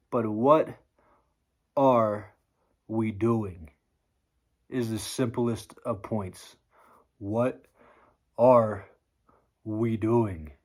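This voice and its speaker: A man's voice: lowish voice, drawn-out words, long pauses. A man lecturing bluntly and profanely in a motivational vlog, his voice sore and strained.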